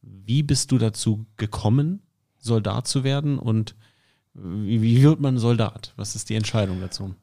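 A man hosting a sports podcast, asking questions with an upbeat, joking energy. His voice is slightly low. He tosses in quick playful asides between questions. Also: gravelly, dark tone. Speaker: podcast host. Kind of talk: casual podcast interview.

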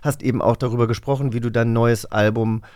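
A man's voice: medium pitch, fairly even intonation, quick pace, a little mellow, dry recording, studio quality. A man with speech hosting a casual interview, conversational and feeling his way through questions. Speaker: radio magazine host, interviewer.

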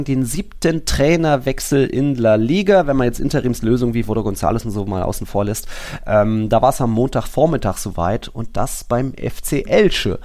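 A man with a medium-pitched voice hosts a casual football podcast. He recaps in a chatty, loosely structured way, dropping in asides as he goes. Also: darkish tone. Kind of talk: casual sports podcast discussion.

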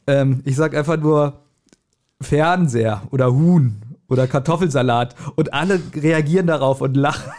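A man's voice: fairly high pitch, moderate pace, darkish tone. A man co-hosting a casual podcast, talking in a wry, interjecting way. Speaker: podcast host. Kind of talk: casual chat.

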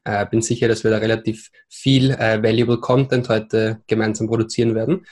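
A man's voice: on the low side, little pitch movement, a little fast, a little mellow. A man in his twenties from Vienna with an Austrian accent, a podcast guest who talks with many hesitations and mixes in English terms. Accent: Viennese Austrian German. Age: 20s. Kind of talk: podcast interview.

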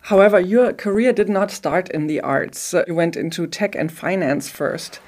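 A woman hosting an art podcast, asking measured, prepared interview questions. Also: lowish voice, darkish tone.

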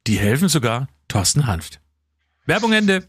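A man's voice: medium pitch, very animated, normal speed, a bit bright, breathy edge, dry recording, studio quality. A man, a host reading out a scripted advertisement. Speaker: host reading a sponsor spot. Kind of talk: scripted ad read.

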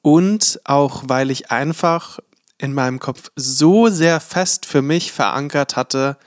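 A man's voice: fairly high pitch, slow pace, no room sound. A man co-hosting a podcast, talking in loose, rambling sentences full of hedges. His voice sits close and dry on the mic with no room sound. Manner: rambling, many fillers and hedges. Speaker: podcast co-host. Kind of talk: casual chat.

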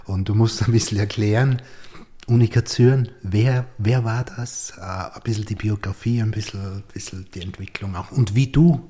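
Austrian guy hosting a podcast, lowish voice, hesitant questions. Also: steady tone, moderate pace, warm tone. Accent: Austrian German. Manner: frequent backchannel, hesitant questions. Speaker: podcast host, interviewer. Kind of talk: conversational interview.

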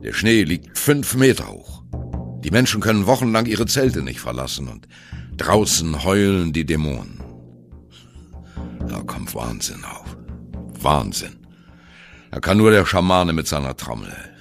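A man's voice: a bass voice, very animated, unhurried, gravelly, pauses often, heavily acted. An actor playing a sardonic jazz musician in a radio play, confiding his absurd story to the listener in a dramatic, wry manner. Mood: dramatic storytelling. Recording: music behind the voice.

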